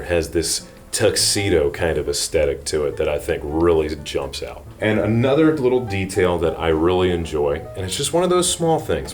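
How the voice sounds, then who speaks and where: A man presenting a scripted product review to viewers, explaining details with enthusiasm. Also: low voice. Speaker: product reviewer and channel host.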